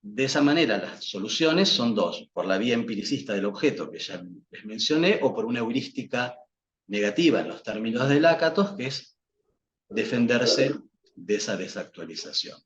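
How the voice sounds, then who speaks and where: A man's voice: medium pitch, expressive, normal speed. A man whose first language is Portuguese, giving a reflective academic talk as a psychoanalyst guest, hesitant, with many fillers and restarts. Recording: dull lo-fi sound with faint noise.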